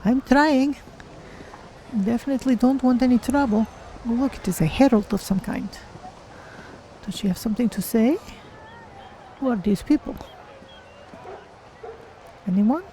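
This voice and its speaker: A woman plays a polite, curious cat-person exploring a fantasy game. Her voice is dark and mellow, her commentary measured and formal, with faint noise behind. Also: a little high, natural voice, rough recording. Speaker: game streamer. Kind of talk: in-character gameplay commentary.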